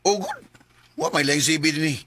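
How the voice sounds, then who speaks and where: A man's voice: on the high side, huge pitch swings, moderate pace, a bit bright, breathy edge, exaggerated voice. An actor playing an easygoing male friend who teases his buddy over drinks in a radio drama. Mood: teasing. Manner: teasing, easygoing.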